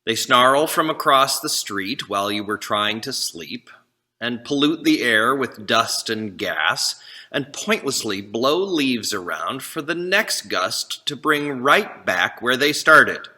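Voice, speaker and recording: Slow-spoken man in a reverberant hall. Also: mid-range voice, animated, sharp tone. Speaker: congregation service leader. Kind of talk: introducing and reading a poem aloud to a congregation. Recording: long reverberant hall echo.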